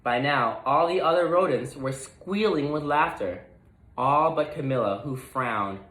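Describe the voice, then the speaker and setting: A man reading a children's storybook aloud with expressive narration. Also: a little high, unhurried, reverberant, rough recording. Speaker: storybook reader.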